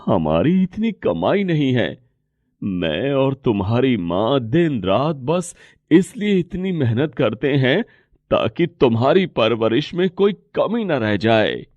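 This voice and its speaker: A man's voice: medium pitch, big pitch swings, takes it slowly, a little mellow, no echo, highs cut off. A man voicing a poor, tired, caring rickshaw-driver father in a moral story, with an earnest, advising manner. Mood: earnest advice. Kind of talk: moral story character acting.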